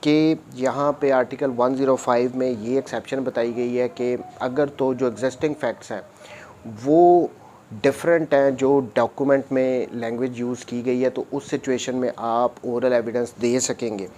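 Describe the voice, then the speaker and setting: A man's voice: medium pitch, steady tone, moderate pace, mellow, a little hiss or hum. A man lecturing methodically, reading out formal text and explaining it with repetition, mixing two languages. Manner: methodical, repetitive, switches between Urdu and English. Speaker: law lecturer. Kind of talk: explanatory lecture, reading out and paraphrasing legal articles.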